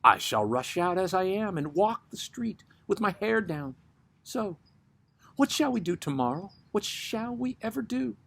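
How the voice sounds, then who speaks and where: A neurotic, anxious woman, acted by a man, pitched high and slightly husky. The delivery is urgent and pleading, pressing out short demanding questions in a dramatic poetry reading. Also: very animated, measured pace, fairly bright tone, exaggerated voice. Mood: fretful.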